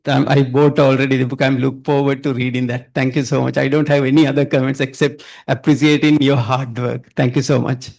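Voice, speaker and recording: A man from Sri Lanka, a professor speaking up from the audience at a public event. He pauses often, with fillers and restarts. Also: mid-range voice, gravelly, darkish tone. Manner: halting, with many fillers and restarts.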